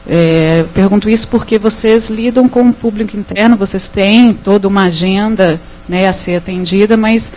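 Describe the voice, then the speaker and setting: A woman with a Brazilian Portuguese accent, asking a measured question from the audience of a small auditorium. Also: medium pitch, a little mellow, a little hiss or hum, poor audio.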